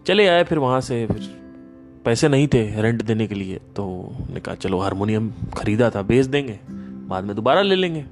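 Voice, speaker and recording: A man hosting a podcast, giving a casual spiritual talk in an emphatic, animated storytelling manner. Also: on the low side, moderate pace, faint music behind. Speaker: podcast host.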